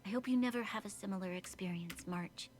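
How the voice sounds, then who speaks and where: A woman voicing a dignified, earnest young female leader in a video game, speaking in a formal, measured, solemn way. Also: mid-range voice, slight background noise, lightly acted. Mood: candid.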